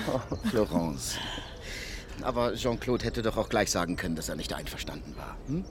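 Very animated, cajoling man. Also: lowish voice, unhurried, long pauses, noisy background. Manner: persuasive, colloquial, insistent. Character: cajoling, self-assured parent council man. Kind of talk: radio drama acting.

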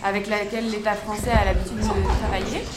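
A woman's voice: mid-range voice, little pitch movement, fast, over loud music, close to an everyday voice. A woman playing a state official who explains a financing arrangement in formal, bureaucratic language with hesitations. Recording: echoey room with crowd noise.

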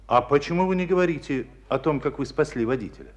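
A man's voice: a little high, animated, quick pace, close to an everyday voice. A man acting in a radio play as a stern, exasperated military school commander, emphatic and reproachful. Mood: brisk, probing. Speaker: actor in a radio drama.

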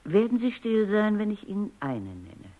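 A woman's voice: medium pitch, big pitch swings, moderate pace. A stern, precise scientist woman, muffled. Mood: coolly didactic. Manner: precise, commanding, didactic. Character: stern, coolly analytical female robot psychologist. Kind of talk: German radio drama acting. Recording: muffled, dull radio drama recording.

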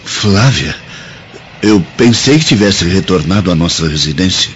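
A self-satisfied young Roman officer in a radio play. His voice is low and animated, with a pompous formality. The recording sounds dull. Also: a little slow. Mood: pleased, animated. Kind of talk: radio drama acting. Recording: dull lo-fi radio drama, faint noise.

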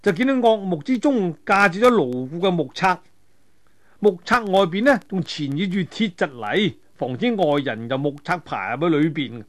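Animated high-pitched male storyteller. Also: moderate pace. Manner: colloquial, animated, with spoken sound effects. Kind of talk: traditional serialised storytelling narration of a martial-arts tale.

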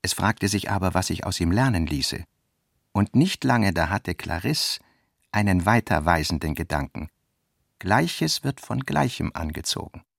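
A man's voice: deep voice, animated, unhurried, creaky, no room sound, studio quality. A man narrating literary prose as the narrator of a radio drama.

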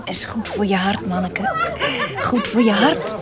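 A woman's voice: medium pitch, expressive, unhurried, lightly acted. An actress playing a merry, bawdy brothel woman in a historical audio drama, teasing and giggly. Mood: flirtatious, amused. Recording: loud music and noisy crowd behind.

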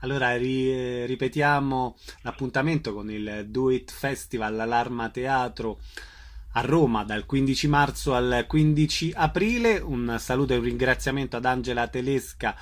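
A man's voice: medium pitch, fairly even intonation, moderate pace. A man hosting a radio interview, asking questions and wrapping up in a conversational style.

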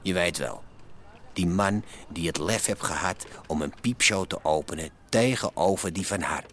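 An actor as the street-wise narrator of an underworld radio drama, chatty and slangy, confiding in the listener. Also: male voice, low voice, moderate pace, fairly bright tone, a little hiss or hum.

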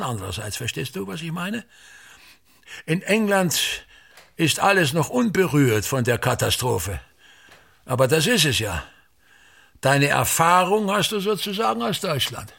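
A husky, gravelly theatre tyrant, with a highish pitch that swoops widely. He declaims pedantically and condescends with easy exasperation, in the style of a German radio play. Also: bright, forceful tone, full character voice. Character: pompous, tyrannical theatre director rehearsing his own comedy. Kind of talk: German radio drama acting.

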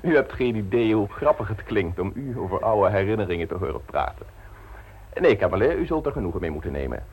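An actor playing a suave, insolent blackmailer in a radio play, mockingly polite and smoothly domineering. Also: male voice, fairly low pitch, very animated, fairly quick, dull audio, slight background noise. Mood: smug, teasing. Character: suave, insolent male blackmailer installing himself as private secretary.

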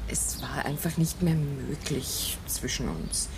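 An actress in a German radio play voicing a composed, frank woman with a measured, dry manner. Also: extremely low pitch, very animated, heavily acted. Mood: frank, subdued. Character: composed, frank widow confiding in an acquaintance. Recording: clear ambient background noise.

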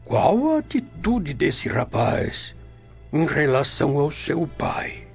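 A man playing a gentle, concerned old Roman grandfather in a radio soap, with hesitant questions. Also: fairly high pitch, sing-song, takes it slowly, creaky, breathy edge, exaggerated voice. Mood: curious, concerned. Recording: dull lo-fi, music behind.

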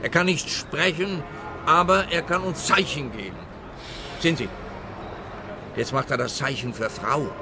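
A man's voice: a little high, a little slow, long pauses, background noise. A man playing an earnest, officious official who explains things solemnly in a radio play. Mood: solemn, pleased.

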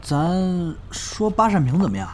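Actor as genial young man, animated higher voice. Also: a little slow, a little hiss or hum. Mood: teasing. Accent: northern Mainland Chinese. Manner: colloquial, placating. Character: genial, streetwise young male comic performer, conciliatory. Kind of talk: audio drama acting. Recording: music behind the voice.

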